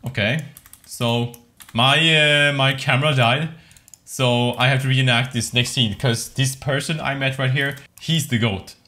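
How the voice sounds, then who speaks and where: A man's voice: mid-range voice, fairly even intonation, unhurried, sharp tone. Playful young man on a headset mic. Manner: playful, jokey, mixes beginner Japanese with English. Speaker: vlogger learning Japanese. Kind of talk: casual chat in a VR world. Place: small room indoors.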